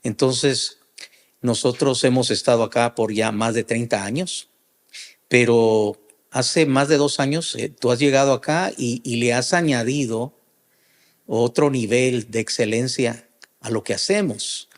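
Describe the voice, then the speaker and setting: A middle-aged man, a pastor hosting a podcast interview, speaking deliberately in short measured phrases. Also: mid-range voice.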